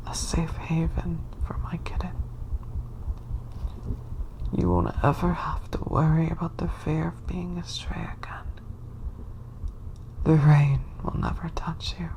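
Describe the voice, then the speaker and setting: Woman as a low whispering yandere bunny boy. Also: darkish tone, heavily acted, rough recording. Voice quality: breathy whisper. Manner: slow, soothing, possessive. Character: possessive yandere bunny boy, soft-spoken and coaxing. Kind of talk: ASMR roleplay.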